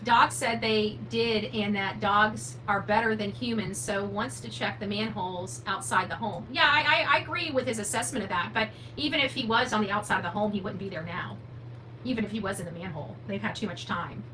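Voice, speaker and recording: A woman's voice: medium pitch, brisk, sharp tone, rough recording. A woman hosting a true crime livestream, speaking off the cuff in a blunt, opinionated, emphatic way and answering viewer comments. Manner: blunt, opinionated, emphatic, asks rhetorical questions. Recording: noticeable background noise with hum.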